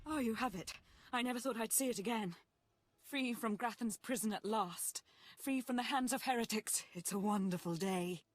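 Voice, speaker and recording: A woman playing a fervent, obsessed cult devotee in a video game, speaking in a reverent, measured, breathy way. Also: a little high, no room sound, close to an everyday voice. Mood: rapturous, eager. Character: fervent, obsessed female Sith cult devotee, soft-spoken zealot. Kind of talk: scripted video game voice acting.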